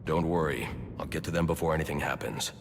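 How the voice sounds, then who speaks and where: A man playing a grim masked vigilante hero in a video game, gravelly, terse and serious. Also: fast, slight background noise. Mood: calm resolve. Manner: terse, low-key, serious.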